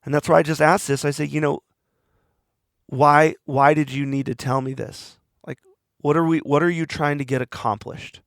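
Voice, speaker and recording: A man's voice: fairly high pitch, steady tone, moderate pace, dry recording, studio quality. A man hosting a podcast, talking alone in a rambling, earnest way while he is losing his voice. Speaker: podcast host. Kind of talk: reflective solo monologue.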